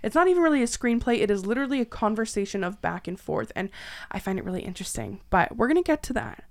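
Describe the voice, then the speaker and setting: A woman hosting a podcast in a casual, animated, self-deprecating way with salty language. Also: medium pitch, quick pace, gravelly. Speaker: podcast host. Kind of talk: casual chatty podcast banter.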